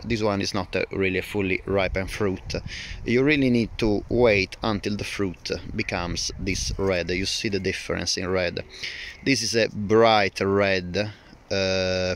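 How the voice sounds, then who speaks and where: Low-voiced man filming his allotment. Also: a bit bright, slight background noise. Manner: hesitant, many fillers and restarts. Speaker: gardening vlogger. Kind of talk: casual walkthrough vlog. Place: outdoor allotment among fruit canes.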